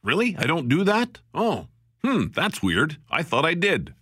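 Male call-in radio host, bright, chatty, lively delivery, dry studio sound. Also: on the high side, breathy edge, no room sound. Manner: animated, chatty, quick laughs. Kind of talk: casual call-in radio chat.

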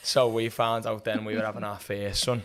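Chatty Liverpool-accented man, lowish voice. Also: little pitch movement, moderate pace, clear, resonant tone. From England. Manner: chatty, rambling, laughs often. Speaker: podcast guest, former student. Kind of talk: casual interview chat.

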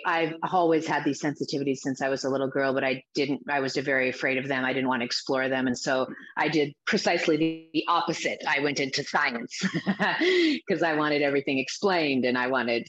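A middle-aged woman from the Azores talking as an interview guest, reflective and halting with many restarts. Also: rumbling low, fairly bright tone. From Azores, Portugal. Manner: reflective, with frequent restarts and fillers. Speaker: guest, spiritual teacher and coach. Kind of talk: interview answers. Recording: dull lo-fi sound.

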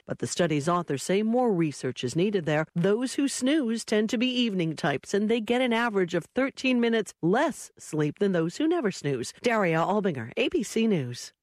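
A woman's voice: mid-range voice, darkish tone, no echo, clean studio sound. A woman reading a scripted news report.

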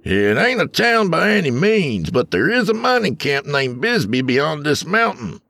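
Brief: stern old-west wagon driver, curt and wary, pitched up high and projecting, a man reading. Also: very animated, measured pace, creaky, a bit bright, no room sound, full character voice.

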